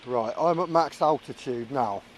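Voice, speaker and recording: A man's voice: medium pitch, unhurried, slight background noise. A man giving casual, musing running commentary as a vlogger flying a drone, thinking aloud as he goes. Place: outdoors.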